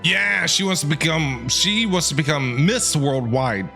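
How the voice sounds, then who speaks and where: A man giving casual, playful and excitable live reactions as he streams a game. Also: on the high side, measured pace, vocal fry. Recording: game music behind the voice.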